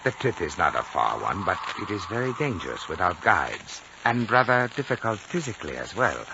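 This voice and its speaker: A deep-voiced, courtly old Chinese lamasery host in a vintage radio play. He is formal and enigmatic, with swooping melody and deliberate phrasing, on a dull old recording. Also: very animated, gravelly, noisy background, full character voice. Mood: courteous, evasive. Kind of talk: 1950s radio drama acting.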